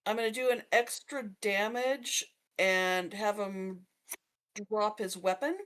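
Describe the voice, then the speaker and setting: A woman's voice: mid-range voice, steady tone, slow pace, fairly bright tone, clean tone. A woman chatting casually as a player in a tabletop roleplaying game.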